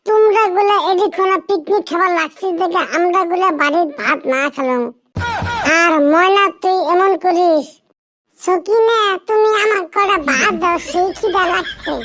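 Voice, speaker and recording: A man voicing a cheeky, rowdy young village lad in a comedy cartoon, with a northern Bengali village dialect and a squeaky, pitch-shifted voice. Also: normal speed, sharp tone. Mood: pleading. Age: young adult. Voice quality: squeaky, artificially high.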